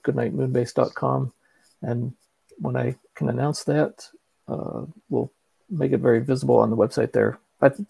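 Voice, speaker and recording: A man's voice: medium pitch, creaky, warm tone, slightly rough, no room sound, rough recording. A man giving earnest, explanatory answers as a guest author in a conversational interview. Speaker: guest, children's book author.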